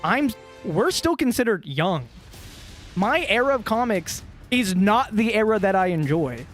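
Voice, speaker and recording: A man's voice: high voice, very animated, a little slow, fairly bright tone. A man in his twenties rambling in casual gaming chat, swearing and laughing often, with frequent restarts. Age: 20s. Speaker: co-host playing a video game. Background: game music and sound effects.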